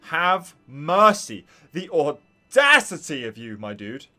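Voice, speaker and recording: A streamer gives casual live reactions to a game. The pitch swings wildly and the pace is slow and drawn out, playful and theatrical. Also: sing-song, sharp tone.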